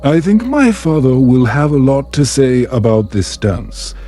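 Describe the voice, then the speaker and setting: Young noblewoman in a fantasy tale, voiced by a male narrator: guarded, quiet, courteous, mellow and slow. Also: medium pitch, sing-song, faint music behind. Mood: wary.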